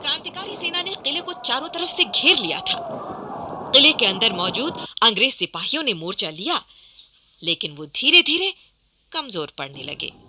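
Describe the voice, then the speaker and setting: A woman's voice: high-pitched, big pitch swings, moderate pace, background noise. A woman narrating a historical radio play in an emphatic, formal storytelling manner from a script.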